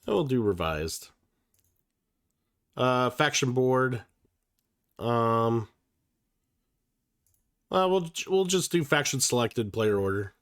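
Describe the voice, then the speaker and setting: A man's voice: mid-range voice, very animated, takes it slowly, pauses often, fairly bright tone, no room sound, studio quality. A man hosting a live stream of board games, chatting casually while sick and sounding slightly nasal, swearing freely. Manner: casual and profane, with many fillers and restarts. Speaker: livestream host. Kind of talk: casual livestream chat.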